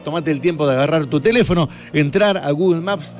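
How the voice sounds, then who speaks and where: A man's voice: on the high side, fairly quick, slight background noise. A man with an Argentine accent hosting a radio and TV show, chatting casually and explaining things to listeners with enthusiasm. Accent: Argentine Spanish.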